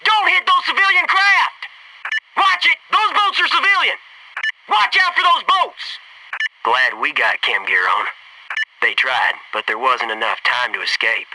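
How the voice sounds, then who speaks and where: A man's voice: very high voice, very animated, a little slow, recorded from a distance, background noise, exaggerated voice. A man playing a cocky, wisecracking young American Navy SEAL in a military video game, with punchy, wry delivery. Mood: urgent shouting, then somber. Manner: punchy, wry, eager banter and callouts.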